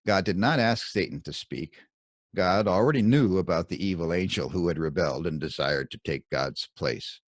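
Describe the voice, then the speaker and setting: Low-voiced man reading with lively, emphatic intonation. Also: no echo, clean studio sound. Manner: deliberate, emphatic, dramatic phrasing with pointed pauses. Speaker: author reading his own commentary. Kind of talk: scripted Bible commentary narration, audiobook-like.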